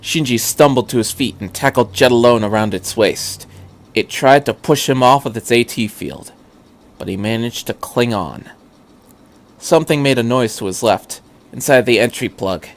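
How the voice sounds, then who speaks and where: A man's voice: medium pitch, moderate pace. A man reading fan fiction aloud as a narrator, with an earnest, dramatic delivery.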